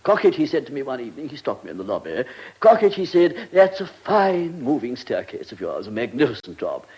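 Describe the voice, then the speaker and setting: An actor playing a pompous, vain, fussy government minister in a radio comedy drama, with an upper-class English accent and a self-satisfied, dithering manner. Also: male voice, medium pitch, huge pitch swings, a little fast, a little mellow.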